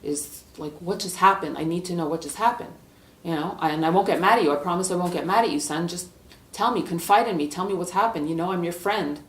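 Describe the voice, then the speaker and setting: A woman's voice: lowish voice, fast, voice pushed down, close to an everyday voice. Woman acting exasperated football coach, echoey. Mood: coaxing. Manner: pleading, repeated rhetorical questions. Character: male football coach, paternal, exasperated, hot-tempered. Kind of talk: acted dialogue in a spoken story. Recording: echoey room, distant from mic.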